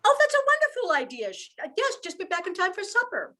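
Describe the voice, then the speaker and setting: A woman's voice: very high-pitched, wildly swooping pitch, quick pace, bright, forceful tone, heavily acted. A woman playing an earnest young widowed mother in an amateur radio play, with heartfelt, theatrical delivery. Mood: polite, welcoming.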